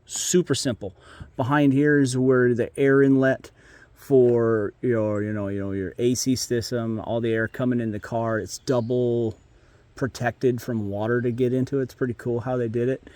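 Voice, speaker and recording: A man talks through his own vehicle work in a casual, folksy way, with fillers and restarts. His voice sits at an ordinary middle pitch for a man. He is recorded outdoors on a camera mic while moving around the vehicle. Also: fairly even intonation, normal speed, a little mellow, no echo. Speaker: car modification vlogger. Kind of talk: casual how-to walkthrough.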